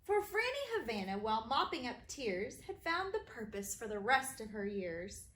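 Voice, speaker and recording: A woman teacher reading children's stories aloud in an expressive, sing-song way for young listeners. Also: a little high, moderate pace. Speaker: kindergarten teacher reading her own stories. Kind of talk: animated children's storybook reading. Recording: roomy echo, faint background noise.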